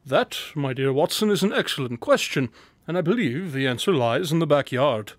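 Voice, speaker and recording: An actor playing a cerebral, self-assured detective in a radio drama, speaking in a precise, pondering, condescending way. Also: male voice, a little high, animated, normal speed, dry recording. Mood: smug, intent. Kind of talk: scripted radio drama dialogue.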